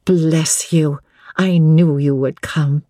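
A woman's voice: low voice, steady tone, takes it slowly, mellow, no room sound, studio quality. A reader voicing a kindly, bustling woman, a former nursemaid, with a homely, respectful manner in an audiobook. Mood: welcoming. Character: kindly, bustling former nursemaid, quick-tempered young mother. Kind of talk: audiobook character dialogue.

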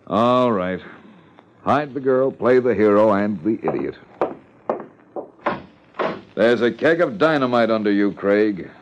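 Low-voiced, menacing radio villain. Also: male voice, animated, slow pace, pauses often. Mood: menacing, scornful. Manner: theatrical, threatening. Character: menacing, scornful male villain warning a detective. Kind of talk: 1950s radio melodrama acting. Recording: muffled, noisy, clicking old radio recording.

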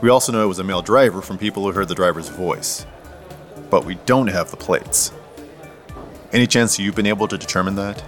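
An actor playing a matter-of-fact police detective in an audio drama, asking direct questions in a dry, natural way. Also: male voice, deep voice, a little fast, fairly bright tone, faint music behind. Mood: probing, matter-of-fact.

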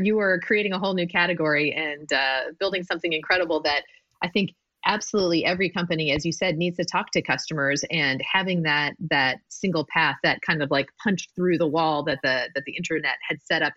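A woman speaking as an interviewer in a conversational, affirming way, building her thoughts in measured stretches. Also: sits low, a bit bright, no room sound, muffled recording. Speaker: interviewer, company executive.

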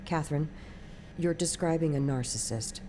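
Actress as a low, mellow female therapist. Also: slight background noise, natural voice. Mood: calm, probing. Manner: measured, clinical, gentle questioning. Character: calm, measured female therapist, gently probing. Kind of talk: naturalistic audio drama dialogue.

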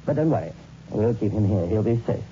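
Man acting a deep, very dark-voiced soothing doctor. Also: animated, natural voice. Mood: soothing, grave. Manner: soothing, measured, grave. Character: calm, patronising male doctor soothing a patient. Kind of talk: 1960s radio drama acting. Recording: muffled old radio recording, faint noise.

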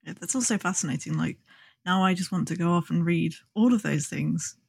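A woman with a lower, creaky voice chats casually as a podcast co-host. The sound is dry, with a husky edge to her speech. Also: fairly quick, darkish tone, no room sound. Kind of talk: casual chat.

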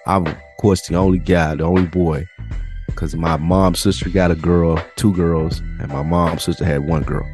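A man co-hosting a podcast, telling slangy, animated stories in casual chat. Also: low voice, normal speed, soft background music. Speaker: podcast co-host. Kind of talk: casual storytelling chat between friends.

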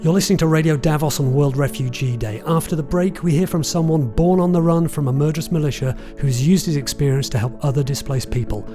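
Male podcast host, mid-pitch, composed delivery, music bed underneath. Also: fast, few pauses. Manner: clear, composed presenter delivery. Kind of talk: scripted podcast narration and interviewing.

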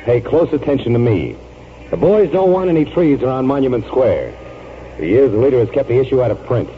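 An actor playing a bossy, exasperated cousin who scolds and warns in a 1940s radio drama. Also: male voice, mid-range voice, big pitch swings, a little fast, close to an everyday voice. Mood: wary, warning. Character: exasperated, scolding male cousin of an old colonel. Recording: dull, noisy vintage radio broadcast.

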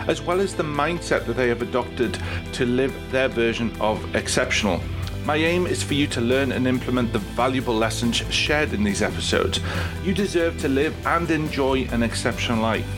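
A man hosting a business podcast as a performance coach, reading a polished intro and then chatting with his guest in an upbeat way. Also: medium pitch. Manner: upbeat, polished greeting, warm encouragement. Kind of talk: scripted intro then casual interview.

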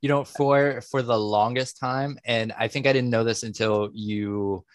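A man's voice: mid-range voice, steady tone, no echo. A man in his late thirties, co-hosting a podcast in casual chat, rambling along with many fillers and restarts. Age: 30s. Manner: slow, rambling, hesitant with fillers. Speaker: podcast co-host.

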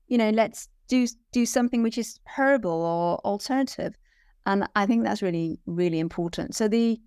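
A middle-aged Welsh woman answers conversationally as a podcast guest. She speaks at a medium pitch and leans on repeated phrases for emphasis. The sound is dry and close. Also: darkish tone, clean tone, dry recording. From Wales.

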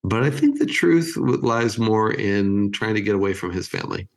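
A man in his fifties from California with an American accent, chatting as an interview guest in a halting, thoughtful way. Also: lowish voice, lively intonation, vocal fry. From California, United States. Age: 50s. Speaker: interview guest, creative agency chief. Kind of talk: casual interview chat.

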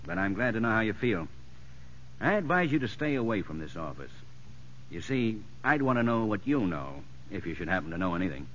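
An American man playing a gruff, sceptical older prison warden, blunt and wry, in 1950s radio drama style. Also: lowish voice, sing-song, a little fast, a bit bright. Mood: stern, warning. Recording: muffled, noisy old radio broadcast.

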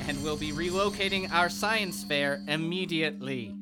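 Man voicing a pompous dean, condescending and commanding, fairly high voice, music bed. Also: moderate pace, lightly acted. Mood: stern. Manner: patronizing, authoritative. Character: pompous older male academic dean. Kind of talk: audio drama acting.

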